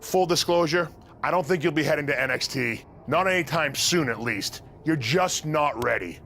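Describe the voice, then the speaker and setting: Casting: no-nonsense wrestling scout, matter-of-fact delivery, moderately high pitch, video game story. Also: male voice, lively intonation, fairly bright tone, a little hiss or hum. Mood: blunt, firm. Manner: measured, matter-of-fact, frank. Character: blunt, businesslike veteran male wrestling talent scout. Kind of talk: video game cutscene acting.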